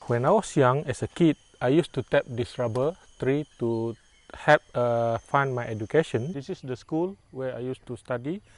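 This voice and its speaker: A man's voice: medium pitch, moderate pace, dry recording. A man from Malaysia, recalling his childhood in plain speech. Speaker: drilling engineer.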